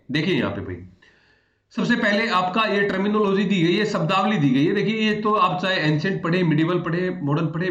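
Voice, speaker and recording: A man teaching in a conversational lecture style, repeating phrases and addressing his students directly. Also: fairly high pitch. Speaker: history teacher for exam aspirants. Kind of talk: explanatory online lecture in Hindi with English terms. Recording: echoey room, dull muffled audio.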